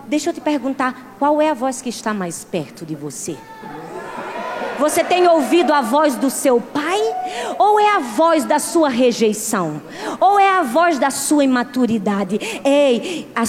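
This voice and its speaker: A woman in her thirties preaching a sermon with a strong regional accent, moving between soft, close passages and loud, emphatic peaks. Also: sits high. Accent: Portuguese-speaking, with a strong regional accent. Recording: long hall reverb.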